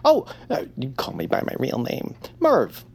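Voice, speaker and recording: A man's voice: medium pitch, huge pitch swings, unhurried, vocal fry, a little mellow, slightly rough, exaggerated voice. An actor playing a fussy, washed-up male bird who once voiced a radio hero, talking in a rambling, flustered, theatrical way. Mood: gloomy. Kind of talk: audio drama acting.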